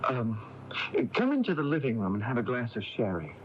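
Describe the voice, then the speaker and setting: An actor playing a reserved, courteous young son with a hesitant manner in a 1980s radio drama. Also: male voice, mid-range voice, wildly swooping pitch, a little fast. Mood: polite, uneasy. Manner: polite, hesitant. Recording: dull, hissy AM radio tape.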